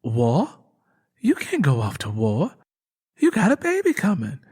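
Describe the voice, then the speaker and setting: A man's voice: a little high, wildly swooping pitch, a little slow, darkish tone, husky, heavily acted. A man voicing a worried, protesting country character in an audio drama, pleading in colloquial speech. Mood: alarmed. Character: worried, protesting country friend of a poor farmer.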